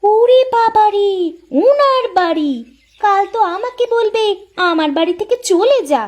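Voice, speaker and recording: Woman voicing a shrill-pitched nagging young wife. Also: expressive, a little slow, a little mellow. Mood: scornful. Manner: nagging, sarcastic. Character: sharp-tongued, jealous younger village wife. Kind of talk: moral folk-tale cartoon voice acting.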